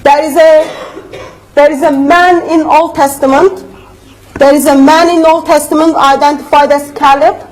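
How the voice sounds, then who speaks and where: A woman with a high, light voice delivers a debate rebuttal in English, which is not her first language. Her phrasing is earnest, emphatic and a little unidiomatic. She speaks to a room at a university debate. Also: steady tone, a little slow, a little mellow, low-quality recording.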